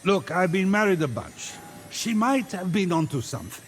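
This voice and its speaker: An actor playing a brash, irreverent old god in a comic video game. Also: male voice, high voice, very animated, normal speed. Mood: flippant. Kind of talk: video game comedy voice acting. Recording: loud music behind the voice. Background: loud music.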